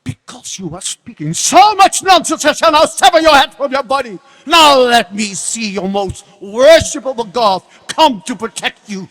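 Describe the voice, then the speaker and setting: A man's voice: very high-pitched, expressive, moderate pace, hardly any pauses. A man playing an enraged, arrogant demon king and tyrannical father in a theatrical dramatic reading, imperious and menacing. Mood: taunting, wrathful. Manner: imperious, theatrical, swinging from menacing hush to shouts. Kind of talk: dramatic scripture reading on stage. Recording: long hall reverb.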